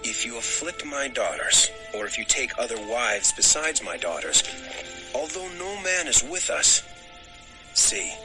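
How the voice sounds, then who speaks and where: An actor playing a possessive, solemn patriarch in a dramatized scripture recording, speaking in emphatic declarations. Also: male voice, mid-range voice, expressive, measured pace, music in the background, close to an everyday voice, poor audio. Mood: solemn, warning. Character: Laban, possessive, solemn patriarchal father-in-law. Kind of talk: dramatized audio scripture acting.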